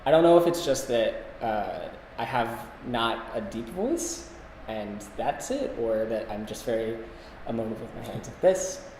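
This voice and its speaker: A man co-hosting a casual podcast chat, talking in a halting way and often interrupting himself. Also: medium pitch, expressive, a little mellow. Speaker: podcast co-host. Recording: roomy, long echo, background hiss.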